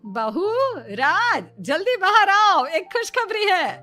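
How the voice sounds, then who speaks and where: Bright, high-pitched doting mother-in-law played by a woman. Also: very animated, slow, faint music behind, exaggerated voice. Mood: delighted. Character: proud, superstitious middle-aged mother-in-law, doting.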